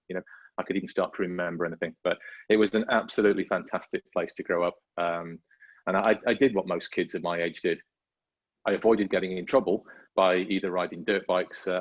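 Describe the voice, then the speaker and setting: A very deep-voiced man in his fifties from North Wales chats as a podcast guest, wandering through his answers with plenty of ums and restarts. The sound is dull and lo-fi, like a muffled remote call. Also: no echo. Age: 50s. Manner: rambling, self-deprecating, many fillers and restarts. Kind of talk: casual conversational interview.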